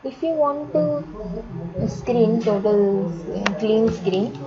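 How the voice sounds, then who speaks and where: A woman instructor walks through software steps at a slow pace. She speaks haltingly, restarting and repeating phrases. The sound is dull and lo-fi, with plenty of chatter from other people in the background. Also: a little high, animated. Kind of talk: step-by-step screen tutorial on drafting software.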